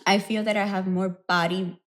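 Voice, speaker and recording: A woman's voice: mid-range voice, normal speed. Laughing Miami woman with Cuban accent. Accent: Cuban-American Miami. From Miami, Cuban family. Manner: relaxed, laughs often, short answers. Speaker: guest, adult performer. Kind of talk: casual interview chat.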